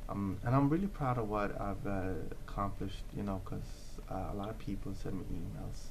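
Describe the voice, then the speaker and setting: A man in his thirties answers questions earnestly, stopping and restarting often and filling pauses with "um" and "uh". His voice sits low. Faint background noise hums under the recording. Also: gravelly. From Norway. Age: 30s. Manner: hesitant, many fillers and restarts, earnest. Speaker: interview guest, comic book creator. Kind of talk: casual interview answers.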